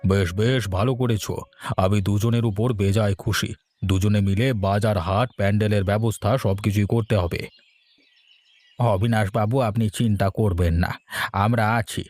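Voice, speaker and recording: A man's voice: fairly low pitch, steady tone, measured pace, darkish tone, no echo. A man playing a generous, self-satisfied village elder in a cartoon folk tale, hearty and declamatory. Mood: pleased, hearty. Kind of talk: cartoon fairy-tale dialogue. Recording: faint music behind the voice.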